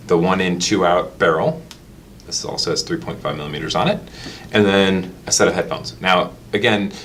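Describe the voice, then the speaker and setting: Low-voiced man giving a product tutorial. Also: a bit bright, a little hiss or hum. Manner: step-by-step explaining. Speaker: product demonstrator. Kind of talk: casual instructional product tutorial.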